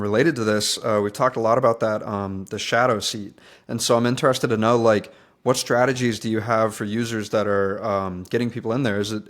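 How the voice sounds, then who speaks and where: Hesitant young man interviewer. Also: medium pitch. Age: 20s. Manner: hesitant, many ums, friendly. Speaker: podcast host. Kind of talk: casual interview.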